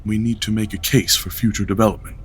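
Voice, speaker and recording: Man playing a male war hero leader, grave, terse, low voice, faint hiss, audio drama. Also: steady tone, natural voice. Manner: measured, terse, serious.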